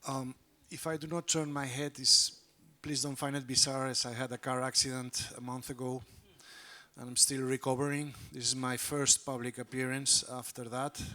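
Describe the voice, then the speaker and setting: A man with a Spanish accent speaks in a formal, measured way at a conference panel. His mid-range voice has a bright edge, with the odd "um" between points. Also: medium pitch, little pitch movement. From Spain. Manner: measured, formal, some fillers. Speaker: panelist, politician.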